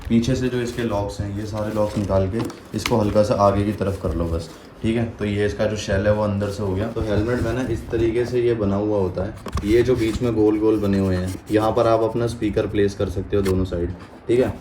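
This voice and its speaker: Man, low, even-toned casual demonstrator. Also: brisk, background noise. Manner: informal, chatty, addresses viewers as brother. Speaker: motorcycle vlogger and product reviewer. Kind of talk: casual hands-on product demonstration.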